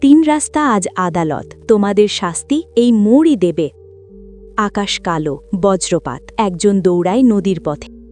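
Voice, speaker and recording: A woman's voice: medium pitch, animated, measured pace, a little mellow. A woman reading a scripted, dramatic story as its narrator. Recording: music bed behind voice. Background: music.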